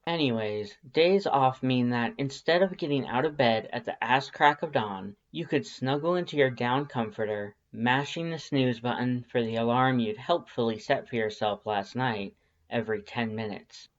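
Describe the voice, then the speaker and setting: An expressive audiobook narrator with a middling pitch, reading fiction in a wry, conversational manner. The home recording sounds a bit dull. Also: moderate pace. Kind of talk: expressive scripted story narration.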